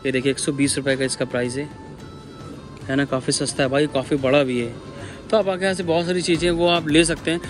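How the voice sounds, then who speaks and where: A man's voice: on the high side, fairly even intonation, brisk. A man with a North Indian accent giving a casual, repetitive walk-through shopping commentary as a vlogger, addressing viewers like buddies. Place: large busy supermarket, indoors. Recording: background music over store vlog audio. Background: music.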